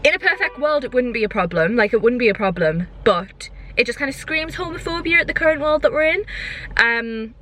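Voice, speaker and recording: A woman's voice: fairly high pitch, animated, a little fast, fairly bright tone, a little hiss or hum. A young woman chatting casually for a vlog, airing her opinions in an exasperated, emphatic way and repeating herself. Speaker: vlogger.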